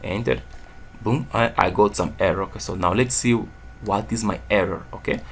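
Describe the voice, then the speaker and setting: Angolan man, gravelly low voice; screen-share coding tutor who checks in with "okay?" constantly. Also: normal speed, slight background noise. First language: Portuguese. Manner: says "okay?" after nearly every phrase, thinks aloud while typing. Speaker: programming tutor.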